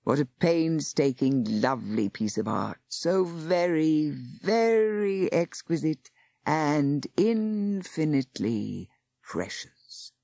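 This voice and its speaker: A woman voicing a gloating, sinister male warlock who speaks slowly and silkily. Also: very deep voice, very animated, a little mellow, husky, dry recording, studio quality, full character voice. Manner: slow, silky, gloating.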